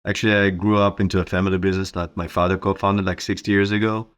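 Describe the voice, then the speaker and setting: Middle-aged man, Israeli accent, low-pitched voice, informal interview guest, conversational answers. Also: level tone, fast, a bit bright, clear, resonant tone. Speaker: interview guest, company co-founder.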